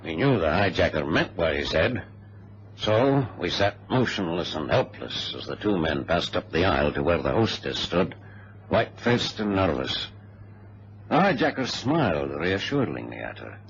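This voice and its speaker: A man playing a passenger who gravely narrates events in a radio drama. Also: low voice, big pitch swings, normal speed. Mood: grave, suspenseful. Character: male passenger recounting a hijacking gravely. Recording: dull vintage radio recording, faint noise.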